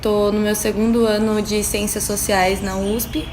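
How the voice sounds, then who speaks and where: A young Brazilian woman, a student, speaks thoughtfully and pauses on fillers as she reasons aloud. Her mid-range voice sits over clear background noise. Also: mid-range voice, little pitch movement. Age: young adult. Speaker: university student interviewee. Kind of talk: interview answers.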